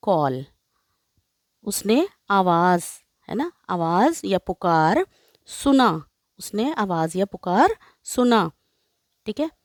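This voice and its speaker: A woman's voice: mid-range voice, a little mellow, no room sound, studio quality. An Indian woman teaching English through line-by-line translation, explaining and repeating phrases with frequent check-in tags.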